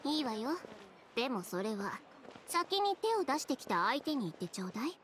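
A woman playing a cool, proud, sharp-tongued young seamstress in a game, curt and self-assured. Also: high voice, big pitch swings, normal speed, a little hiss or hum. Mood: curt, confident.

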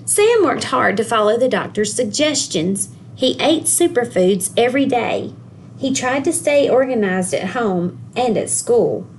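A woman's voice: on the high side, slight background noise. A woman working as a school guidance counselor, expressively reading a picture book aloud to young children. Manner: expressive, pausing to ask the children questions.

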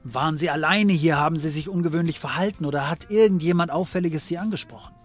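An actor playing a hard-drinking occult detective in a comedy audio drama, speaking in a casual, probing, slangy way. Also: male voice, on the high side, fast talker, soft background music, close to an everyday voice. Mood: inquisitive. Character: male occult private detective, dry, hard-drinking, pale.

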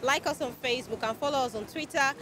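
A young Nigerian woman hosting a street interview, bubbly and excited, speaking into a handheld mic at a busy roadside. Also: high-pitched, fast talker. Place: busy city roadside outdoors. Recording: outdoor street with traffic noise.

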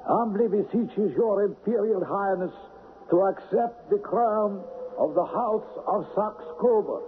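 An actor playing a humble, nervous court envoy, ceremonious and hesitant, in a radio drama. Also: male voice, high voice, measured pace, slightly rough, exaggerated voice. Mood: deferential unease. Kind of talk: 1970s radio drama acting. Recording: dull, noisy old radio recording.

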